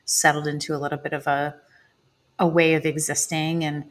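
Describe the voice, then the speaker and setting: Low-voiced reflective actress as guest. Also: female voice, level tone, a little fast. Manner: reflective, searching for words, soft laughs. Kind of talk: conversational interview.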